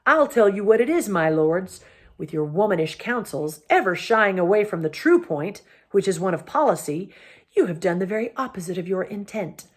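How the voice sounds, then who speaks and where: A woman's voice: medium pitch, expressive, moderate pace, hardly any pauses, bright, forceful tone. Brief: woman playing a blustering usurper king, roaring, scornful. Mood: furious.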